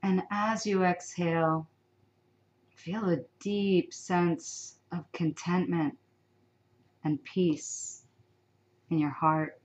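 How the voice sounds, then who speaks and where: A woman leading a guided sleep meditation, giving soft, soothing instructions with long pauses. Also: fairly low pitch, steady tone.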